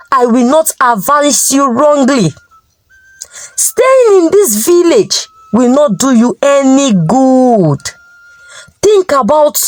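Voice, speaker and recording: A woman's voice: high voice, dry recording, full character voice, low-quality recording. A woman with a Nigerian accent voicing an earnest village father in a folktale, solemn and imploring. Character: earnest, pleading village father advising his daughter.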